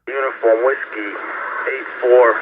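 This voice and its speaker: A man's voice: mid-range voice, fairly even intonation, a little slow. A man in emergency services making terse, matter-of-fact calls over a two-way radio. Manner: clipped, matter-of-fact call signs and codes. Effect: two-way radio, heard over scanner. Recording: tinny narrowband scanner radio with static.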